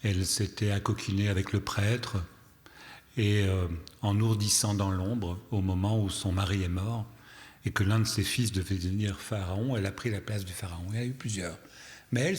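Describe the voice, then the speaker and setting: A low-voiced man, speaking French as a second language, lectures in a hall with long reverb. He tells stories with dry, deadpan wit. He leans on rhetorical questions and repeats key phrases deliberately. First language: not French. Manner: wry, deadpan humour. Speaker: psychotherapist giving a public lecture. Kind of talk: public lecture with storytelling. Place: large reverberant hall, live talk.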